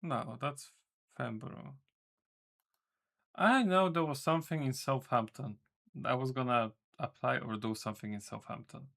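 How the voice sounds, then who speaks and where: A man's voice: medium pitch, normal speed, vocal fry, fairly bright tone. A man streaming as a game developer, chatting casually with his viewers in a rambling way, correcting himself and swearing offhandedly. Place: home room.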